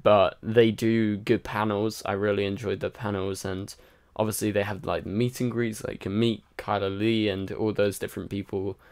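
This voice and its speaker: A man's voice: lowish voice, little pitch movement, normal speed, gravelly, few pauses, darkish tone. A tired man hosting a casual livestream, rambling and chatty with lots of fillers while answering viewer comments. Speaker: livestream host. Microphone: home microphone.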